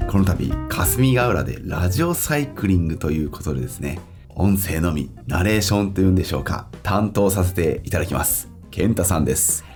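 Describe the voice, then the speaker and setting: Male radio-style narrator, low voice, lively intonation, soft guitar music behind. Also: a little fast. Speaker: audio guide narrator. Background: plucked guitar music.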